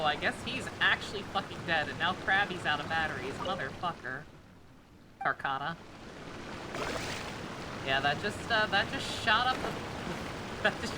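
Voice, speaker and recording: A woman's voice: fairly low pitch, very noisy. A woman game streamer giving casual, irreverent live commentary, rambling and swearing freely.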